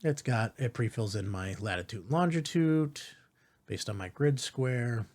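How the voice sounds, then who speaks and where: A man hosting a step-by-step software tutorial in an informal, reassuring way, often checking in with rhetorical asides. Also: medium pitch, animated, unhurried. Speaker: tutorial host.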